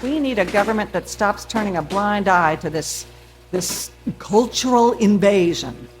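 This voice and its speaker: A woman's voice: medium pitch, a little slow, music in the background, natural voice. Radio drama casting: a woman as an overbearing, intolerant wife, medium register, cutting sarcasm, commanding and menacing. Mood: indignant. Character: domineering, bigoted, nagging wife with menacing contempt.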